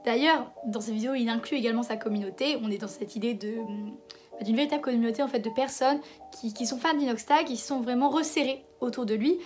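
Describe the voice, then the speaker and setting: A woman hosts a casual video essay with a hoarse voice, since she has a cold. She speaks a little high and conversationally, often pausing and restarting her sentences. Faint music plays underneath. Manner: conversational, hesitant fillers and restarts.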